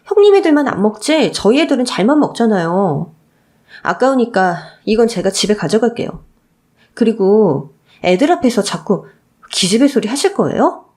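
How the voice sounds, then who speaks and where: A woman's voice: on the high side, very animated, normal speed, darkish tone. A woman voicing a long-suffering daughter-in-law who protests indignantly in a radio story drama. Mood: protesting.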